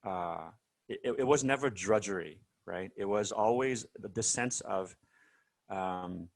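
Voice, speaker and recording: A man's voice: on the low side, unhurried. A man from South Korea being interviewed over a video call, telling stories casually with many fillers and restarts. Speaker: guest musician.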